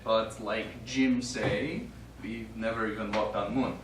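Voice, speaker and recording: A man plays a Russian astronaut on stage, plain-spoken and proud, with a Russian accent. His phrasing is clipped and drops its articles. The recording is distant and roomy, with clear background noise. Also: fairly low pitch, steady tone, slow. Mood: proud, earnest. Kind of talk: stage play dialogue. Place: small studio theatre, recorded from afar.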